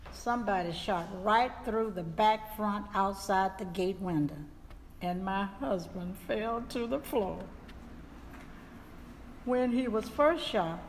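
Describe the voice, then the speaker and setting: A woman playing a grieving widow giving earnest, deliberate testimony in a staged dramatic reading. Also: fairly high pitch. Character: grieving widow recounting her husband's killing. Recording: reverberant hall, faint background noise.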